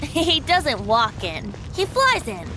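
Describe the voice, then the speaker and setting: A woman's voice: high-pitched, huge pitch swings, full character voice, rough recording. A woman playing a lilting playful young bard. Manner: teasing, lighthearted, slow and lilting. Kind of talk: video game cutscene voice acting. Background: game music.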